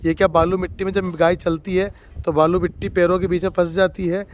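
A high-voiced man from Rajasthan talks up a farm cow in casual, repetitive bursts of praise, his pitch staying flat and level. He speaks off camera in an outdoor farmyard, with faint background noise under his voice. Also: a little fast. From Rajasthan, India. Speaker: dairy farmer showing a cow for sale. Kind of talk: casual spoken livestock showcase.

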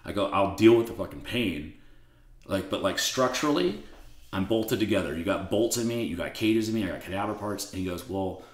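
A man with a raspy voice, a podcast guest talking bluntly and swearing freely in casual conversation. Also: deep voice, expressive, a little fast. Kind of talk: casual interview chat. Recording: roomy echo.

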